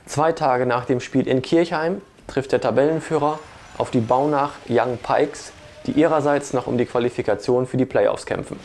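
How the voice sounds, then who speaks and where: A man's voice: mid-range voice, normal speed, darkish tone, slight background noise. A man around his late thirties or forties reading a scripted sports preview as a reporter in a studio.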